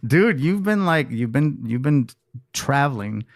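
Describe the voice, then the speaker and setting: A young man hosting a casual podcast chat in a studio, animated and quick to repeat words as he starts his sentences. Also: fairly high pitch, no echo. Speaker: podcast host.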